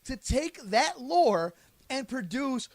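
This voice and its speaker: A man's voice: extremely high pitch, big pitch swings, takes it slowly, no room sound. A man hosting a podcast in a casual, bantering style. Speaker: sports and entertainment podcast host.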